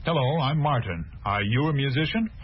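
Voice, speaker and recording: A man's voice: mid-range voice, animated, normal speed, natural voice. A man acting out simple scripted dialogue for an English lesson. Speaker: actor in language lesson dialogue. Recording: dull lo-fi audio, faint guitar.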